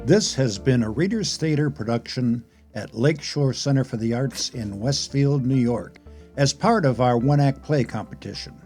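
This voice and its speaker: A man's voice: medium pitch, normal speed, darkish tone, faint music behind. A man narrating an audio play, reading scripted stage directions.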